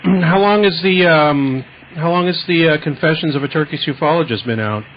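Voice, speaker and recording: A man hosting a radio interview show, talking casually and relaxed, with many fillers and hesitations. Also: on the high side, moderate pace. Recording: muffled lo-fi radio recording with faint hiss.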